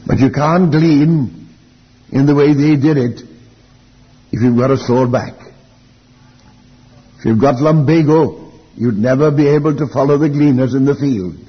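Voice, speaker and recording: Elderly preacher, muffled in a reverberant hall. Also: male voice, medium pitch, husky. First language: Welsh. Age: older, elderly. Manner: deliberate, reflective, with rhetorical questions and repeated phrases. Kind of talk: Bible teaching sermon.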